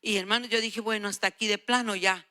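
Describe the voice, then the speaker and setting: A woman's voice: mid-range voice, steady tone, quick pace, sharp tone. A woman in her sixties or older preaching a sermon, emphatic and exhorting, repeating phrases for weight. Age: 60s or older. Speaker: guest preacher.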